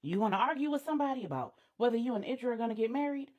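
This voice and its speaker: A woman's voice: a little high, lively intonation, very fast, darkish tone, lightly acted. A woman voicing a candid, unflappable female confidante, blunt and admonishing, in an audio story.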